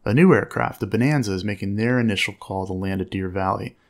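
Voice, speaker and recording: Explanatory man with gravelly creak. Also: fairly low pitch, fast talker, a little hiss or hum. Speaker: flight instructor narrator. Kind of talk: explanatory commentary on air traffic audio.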